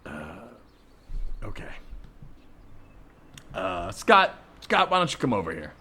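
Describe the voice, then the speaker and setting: A man's voice: fairly low pitch, huge pitch swings, takes it slowly, sharp tone, husky, full character voice. A man playing a jealous, exasperated husband in improvised comedy, terse and insistent. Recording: background ambience noise, remote recording.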